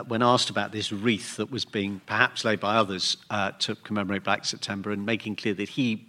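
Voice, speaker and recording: Bright-voiced man chairing a political debate. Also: fairly low pitch. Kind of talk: broadcast political debate moderation. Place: radio theatre stage.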